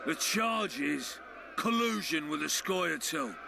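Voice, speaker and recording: An actor playing a coarse, bullying town guard in a video game, barking threats. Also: male voice, a little high, animated, takes it slowly, gravelly, noisy background, full character voice. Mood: contemptuous.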